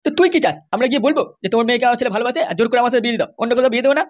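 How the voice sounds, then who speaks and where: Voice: mid-range voice, very fast, natural voice. A performer voicing a blunt, dismissive father in a cartoon, scolding his son. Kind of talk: cartoon voice acting.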